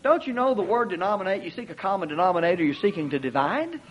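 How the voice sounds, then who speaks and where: A man's voice: high voice, sing-song, quick pace, slight background noise. A man preaching a sermon with emphatic repetition and rhetorical questions. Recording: dull lo-fi recording.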